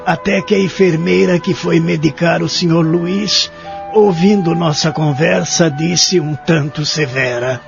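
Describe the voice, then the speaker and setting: A man's voice: fairly high pitch, fairly even intonation, a little slow, vocal fry. An actor playing a lonely, remorseful old man who narrates his life in a care home in an audio soap opera. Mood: narrating. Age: older. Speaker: narrator and lead character of audio drama. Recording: dull lo-fi audio with music behind.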